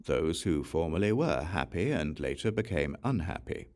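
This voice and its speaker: Male audiobook narrator for scripture: low register, strongly varied intonation, measured and formal. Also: big pitch swings, darkish tone. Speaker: audiobook reader.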